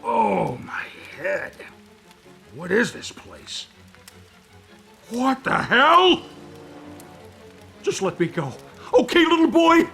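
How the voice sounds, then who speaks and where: A man's voice: very high voice, wildly swooping pitch, takes it slowly, gravelly, pauses often, bright, forceful tone, soft background music, heavily acted. An actor playing a frightened, pleading male captive in a video game cutscene, his voice strained with panic. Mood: dazed, then panicked. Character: dazed, frightened man held captive for a ritual.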